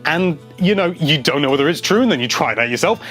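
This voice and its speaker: A man's voice: fairly high pitch, lively intonation, fast, a bit bright, soft background music. A man hosting a casual video, reading out viewer comments and chatting about them in a rambling, enthusiastic way.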